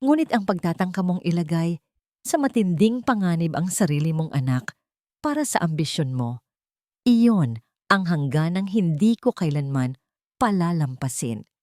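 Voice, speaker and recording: A woman with a Filipino accent playing a wronged wife turned cold corporate queen in an audio drama, speaking firmly and deliberately. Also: fairly low pitch, animated, dark tone, no room sound, studio quality, close to an everyday voice. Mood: accusing, firm. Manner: firm, authoritative, deliberate.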